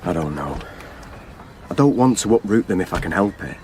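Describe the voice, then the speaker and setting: A man's voice: low-pitched, lively intonation, moderate pace, a little mellow, slight background noise. A male actor in a radio drama playing a weary, worried father, terse and subdued in delivery. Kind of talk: naturalistic radio drama acting.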